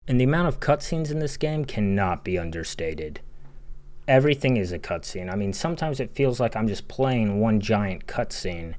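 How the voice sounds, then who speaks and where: A man with a somewhat low-pitched voice narrates a critical video game analysis. He talks casually and with firm opinions, stressing his points as a commentator would. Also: darkish tone. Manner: casual, opinionated, emphatic, with swearing.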